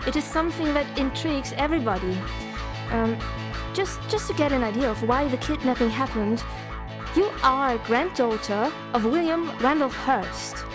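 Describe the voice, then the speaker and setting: A woman's voice: high voice, expressive, moderate pace. An actress playing an intrigued woman interviewing a guest on a talk show in a radio play. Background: loud music.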